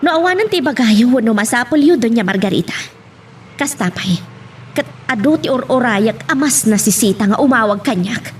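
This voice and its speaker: A woman's voice: fairly high pitch, lively intonation, normal speed, natural voice. An actress playing a humble, forgiving working mother who speaks respectfully in a serial drama. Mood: polite, forgiving. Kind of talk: radio-style serial drama acting. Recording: faint background noise.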